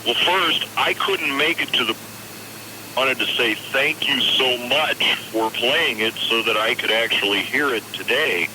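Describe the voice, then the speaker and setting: A man's voice: mid-range voice, measured pace. Enthusiastic male caller on a noisy line. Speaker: radio show caller. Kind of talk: casual phone-in chat. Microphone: telephone. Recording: noisy phone call on radio.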